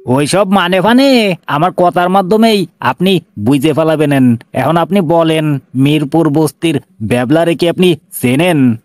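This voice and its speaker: A blunt police inspector from a cartoon, voiced by a man, with a Bangladeshi accent and a slightly high male voice. He talks in an officious, sardonic way with menace underneath, recorded close and dry. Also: a little slow, no echo, close to an everyday voice. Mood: stern. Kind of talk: cartoon dialogue.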